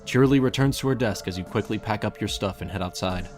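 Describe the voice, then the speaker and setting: Low-voiced man narrating a story over music. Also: fast talker, darkish tone. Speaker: fan fiction narrator. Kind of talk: scripted second-person story narration.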